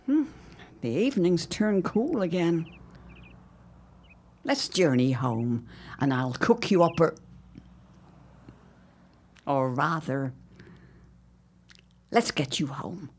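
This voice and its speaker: Slow, husky, low-voiced older mother. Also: very animated, darkish tone, heavily acted. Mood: gentle, reproving. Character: proper, sorrowful older mother of a grown daughter. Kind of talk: period audio drama acting.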